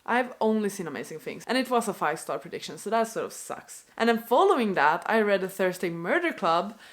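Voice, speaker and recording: A woman's voice: mid-range voice, very animated, a little fast. Stuffy-nosed young woman ranting about books. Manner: chatty, apologetic, frequent "like" fillers. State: stuffy from a cold. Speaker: book vlogger. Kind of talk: unscripted casual rant to camera.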